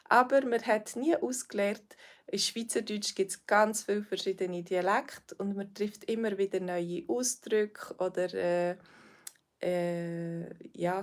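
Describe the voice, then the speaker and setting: A woman's voice: medium pitch, steady tone, a little slow. Swiss woman teaching on a livestream. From Switzerland. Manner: friendly, hesitant with frequent fillers, addresses viewers by name. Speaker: language teacher. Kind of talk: livestream Q&A with viewers.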